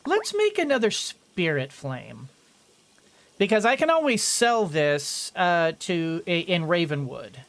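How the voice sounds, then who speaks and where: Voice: medium pitch, sing-song, slow, fairly bright tone. A game streamer giving casual commentary, rambling and thinking aloud while playing.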